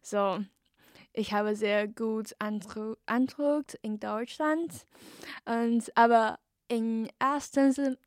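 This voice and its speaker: A young woman from Taiwan, a guest student, answering podcast interview questions in careful learner's German, with many pauses, fillers and restarts. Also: fairly high pitch, dry recording, clean studio sound.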